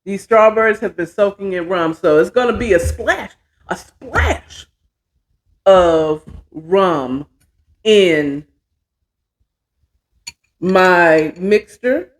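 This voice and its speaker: A woman's voice: fairly low pitch, animated, extremely slow, pauses often. A middle-aged American woman hosting a show, chatting casually to viewers in a folksy way, often half talking to herself. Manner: folksy, talks to herself while working. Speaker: host of a storytelling show.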